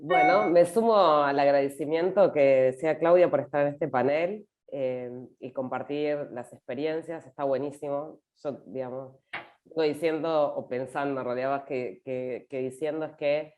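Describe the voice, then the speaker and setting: Very low-voiced woman panelist, lo-fi audio. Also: moderate pace. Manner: lively, hesitant phrasing with fillers. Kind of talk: panel discussion. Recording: dull lo-fi audio.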